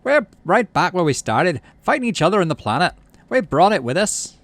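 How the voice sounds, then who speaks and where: Guy doing a fed up space colony dad, shouting, voice pushed way up, indignant. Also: high-pitched, sing-song, normal speed, no room sound, pitched above the performer's own voice, exaggerated voice. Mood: angry. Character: angry, disillusioned father in a space colony.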